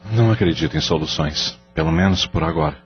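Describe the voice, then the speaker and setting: A man's voice: a bass voice, fast. An actor playing a remorseful, guilt-ridden husband and father who speaks in a weary, pleading way. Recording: dull lo-fi audio with faint music.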